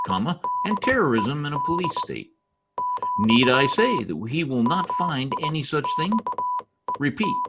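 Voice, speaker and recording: Give me a scheming, self-important diplomat from a small dictatorship played by a man. His medium-pitched voice swoops extravagantly in old radio-play fashion, with music underneath. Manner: pompous, theatrical, swooping. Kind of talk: old-time radio drama acting.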